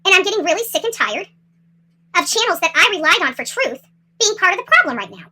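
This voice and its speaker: Livestream host, very high-pitched and sharply forceful, agitated, confrontational, repeating phrases for emphasis. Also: sing-song, fast. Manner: salty, swearing. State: upset, agitated. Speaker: livestream host commenting on a missing-person case. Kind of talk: impassioned livestream rant.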